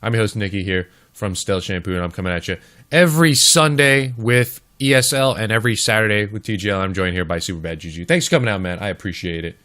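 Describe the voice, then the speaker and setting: A slightly hoarse man with a lowish voice hosts a live esports stream. He casts matches and chats casually with the viewers, keeping a relaxed flow between updates. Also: quick pace. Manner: chatty, talks straight to the chat. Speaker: esports caster and stream host.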